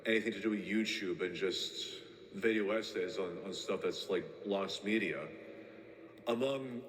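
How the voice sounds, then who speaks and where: A man's voice: deep voice, fairly bright tone, echoey room, big-room sound. An actor playing an uneasy male tape collector who rambles and halts as he leaves a warning message. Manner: rambling, halting, with many restarts.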